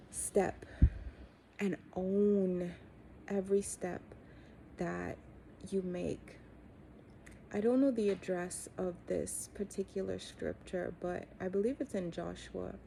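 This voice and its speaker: Middle aged lady doing a slow encouraging faith talk, gravelly fry in her voice, lots of pauses, bit of noise behind. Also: lowish voice, warm tone. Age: 40s or 50s. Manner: earnest, encouraging, deliberate with frequent pauses. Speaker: coach and host giving encouragement. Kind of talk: reflective motivational talk with religious faith.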